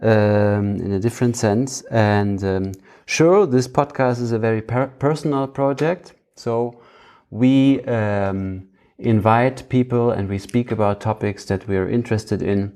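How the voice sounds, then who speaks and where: Male podcast host, German accent, low register with fry, casual and halting delivery. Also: dark tone. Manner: halting, searching for words. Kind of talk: casual conversational podcast hosting.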